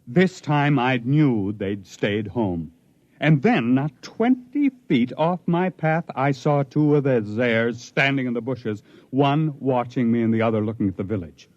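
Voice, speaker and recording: A stranded spaceship captain as a man acts him recounts his ordeal like classic 1950s radio drama. He is haunted and obsessive, swinging widely in pitch between brooding pauses. The old recording is dull and close, with no room sound. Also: expressive, darkish tone, no room sound, natural voice. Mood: grim, intense.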